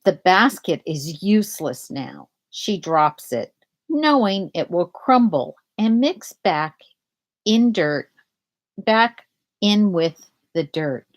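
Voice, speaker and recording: A woman reading a children's picture book aloud in a deliberate way, pausing to explain things to young listeners. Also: mid-range voice. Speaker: storybook reader.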